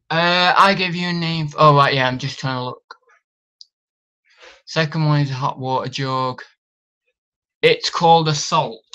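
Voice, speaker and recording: Bright-voiced young man chatting on a live stream. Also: fairly high pitch, muffled recording. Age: young adult. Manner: rambling, full of ums and restarts. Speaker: reseller vlogger reading live chat. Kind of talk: casual live stream chat. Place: small room indoors. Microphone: camera on tripod.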